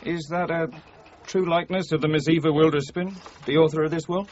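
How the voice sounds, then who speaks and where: A man's voice: a little high, fairly even intonation, fairly quick, darkish tone, lo-fi sound, a little hiss or hum, natural voice. An actor playing a deferential, plain-spoken male police sergeant in a radio crime drama. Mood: formal, deferential.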